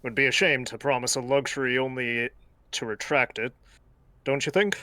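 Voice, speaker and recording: A man voicing a practical sailor and father who speaks in a measured, earnest way, in a fan-voiced game playthrough. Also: medium pitch, steady tone, pushed and bright, dry recording, close to an everyday voice. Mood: musing.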